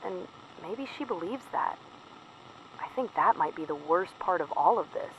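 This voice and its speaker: A woman's voice: fairly low pitch, lively intonation, moderate pace, close to an everyday voice. A woman playing a weary, fearful field operative in an audio drama, confiding a careful, plain-spoken secret report over the radio. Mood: resigned, urgent. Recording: muffled noisy radio transmission.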